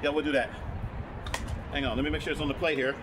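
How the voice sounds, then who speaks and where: Male vlogger, loud and self-correcting, quick delivery; phone recording with noise behind. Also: fairly high pitch, fairly even intonation. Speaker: vlogger and camera collector. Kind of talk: casual on-camera show-and-tell. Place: his broadcaster museum room. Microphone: phone camera, no microphone.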